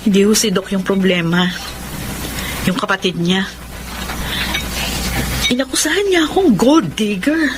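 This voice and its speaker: A woman's voice: mid-range voice, unhurried, long pauses, background noise, natural voice. An actress with a Filipino accent playing a modest, emotional young woman in a romantic radio drama. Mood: earnest. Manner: earnest, emotional, polite. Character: modest, hurt young woman tutor in love. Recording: music under the voice. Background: music.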